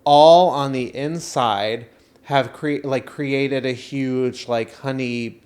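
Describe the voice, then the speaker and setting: A man with an average-pitched voice chats as a podcast co-host. He tells a comic story in a casual, stop-and-start way, padding it with fillers and dry little jokes. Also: steady tone, slow pace, a little mellow.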